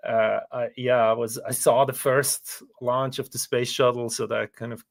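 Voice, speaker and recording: A man's voice: mid-range voice, level tone, normal speed, gravelly. A middle-aged man from Switzerland, a business professor, answering in a thoughtful, modest way with hesitant restarts. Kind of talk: podcast interview.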